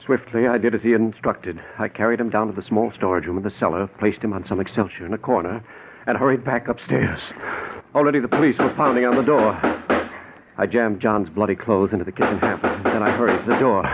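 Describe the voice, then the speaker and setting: A man's voice: on the low side, quick pace, natural voice. An actor playing an anxious, formal man protecting his fugitive brother, in theatrical 1940s radio style on a tinny recording. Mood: tense recounting. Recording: scratchy, tinny 1940s radio broadcast, hissy.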